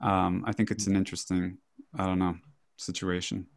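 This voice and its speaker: A man's voice: deep voice, flat delivery, moderate pace, vocal fry. A man on a group video call speaking reflectively about himself. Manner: reflective, thoughtful. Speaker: participant.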